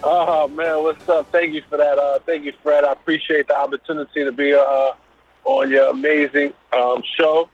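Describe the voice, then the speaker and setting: A man's voice: on the high side, flat delivery, normal speed, faint music behind. A middle-aged man from Brooklyn with a New York accent, a guest talking easily about his background with loose fillers. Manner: easygoing, loose with many fillers. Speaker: guest, business owner. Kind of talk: casual interview. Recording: phone-like call line.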